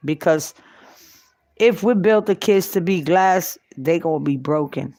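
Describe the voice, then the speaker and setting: A middle-aged woman with a New York Puerto Rican accent, telling her life story off the cuff in short, halting phrases with long pauses. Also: fairly low pitch, warm tone, dry recording. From Puerto Rico and New York. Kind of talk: unscripted personal storytelling.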